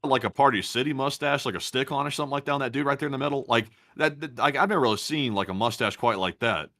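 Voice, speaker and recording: Male co-host, quick and bright, laid-back and joking. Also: mid-range voice. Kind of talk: casual chat.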